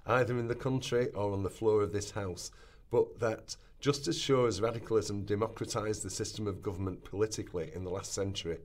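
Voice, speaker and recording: A man's voice: lowish voice, a little mellow, close to an everyday voice. A man playing an earnest early twentieth-century socialist parliamentarian, giving a formal, declamatory speech in a radio play rehearsal.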